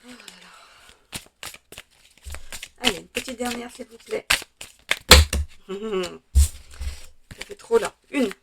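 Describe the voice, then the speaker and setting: A French woman with a slightly hoarse voice, chatting through a tarot card reading with many hesitations and fillers. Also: on the high side, takes it slowly, long pauses, very noisy. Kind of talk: casual weekly tarot reading.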